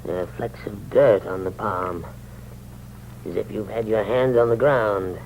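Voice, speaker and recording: A shrewd blind old uncle. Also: male voice, fairly low pitch, steady tone, poor audio. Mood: probing. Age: older. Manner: slow, probing, quietly firm. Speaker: elderly uncle. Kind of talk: 1950s radio drama acting. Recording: old radio broadcast with faint hum.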